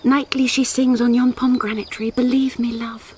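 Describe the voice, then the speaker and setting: An actress playing a tender, pleading young woman in love, soft and poetic, in a radio Shakespeare drama. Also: on the high side, little pitch movement, moderate pace, background noise, close to an everyday voice.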